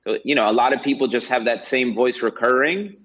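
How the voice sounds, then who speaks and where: A man's voice: mid-range voice, a little fast, a bit bright. A man being interviewed as a podcast guest, talking reflectively and conversationally, often checking in with a "right?". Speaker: podcast guest, real estate agent. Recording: dull, lo-fi sound.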